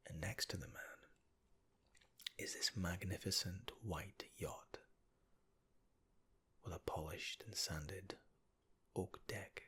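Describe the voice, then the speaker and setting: Low, breathy whispering man guiding relaxation. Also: steady tone, slow, pauses often. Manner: soft, soothing, second-person guiding. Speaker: relaxation guide. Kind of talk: ASMR guided relaxation narration.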